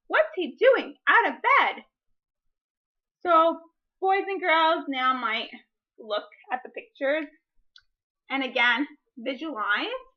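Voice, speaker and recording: A woman demonstrating a read-aloud lesson as a teacher, speaking deliberately and posing drawn-out, wondering questions as if to children. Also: sits high, muffled recording.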